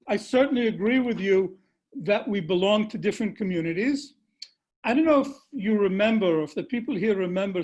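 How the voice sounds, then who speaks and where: A man presenting an academic paper over a video call, reading in a measured, deliberate way and then answering questions. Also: high-pitched, darkish tone. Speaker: seminar presenter.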